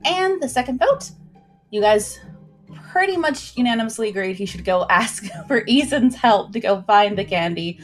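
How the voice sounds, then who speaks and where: A woman's voice: a little high, lively intonation, normal speed, soft background music. A woman hosting a casual livestream, talking informally in a chatty, folksy way as she introduces and recaps a story.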